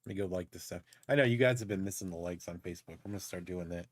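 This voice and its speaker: A man's voice: low voice, very fast, dry recording. A man co-hosting a livestream, chatting casually with his partner and the viewers. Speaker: livestream co-host. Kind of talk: casual chat.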